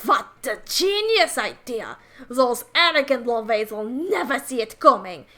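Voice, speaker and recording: A woman's voice: a little high, sing-song, measured pace, bright, forceful tone, heavily acted. A woman voicing a haughty, villainous demon girl in a grandiose mock Russian-Scottish put-on accent. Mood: sarcastic. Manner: grandiose, theatrical.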